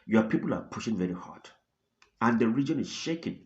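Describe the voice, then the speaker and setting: A man voicing grave, blunt male world leaders in a retold phone call, speaking firmly and deliberately. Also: on the low side, animated, darkish tone. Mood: grave, warning. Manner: heavy, deliberate, firm.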